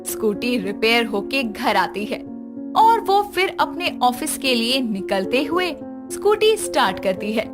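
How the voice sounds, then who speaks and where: A woman narrating a scripted horror story as a storyteller, with music behind her. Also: a little high.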